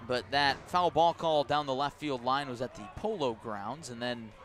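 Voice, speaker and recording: An American man works as a baseball play-by-play broadcaster on radio. His mid-pitched voice is conversational, switching between clipped calls of the action and storytelling with wry asides. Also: normal speed, a bit bright. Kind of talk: live radio sports commentary mixed with storytelling.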